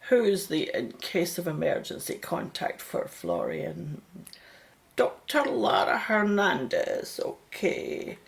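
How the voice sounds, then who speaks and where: A woman's voice: lowish voice, wildly swooping pitch, takes it slowly, gravelly, exaggerated voice. A woman playing a practical, polite, reassuring mother in a radio play.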